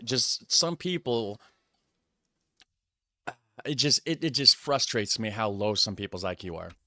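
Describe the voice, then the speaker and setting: A man's voice: on the low side, animated, a little slow, pushed and bright, dry recording. American man ranting in a dry room. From United States. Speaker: reaction video host. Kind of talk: casual reaction commentary.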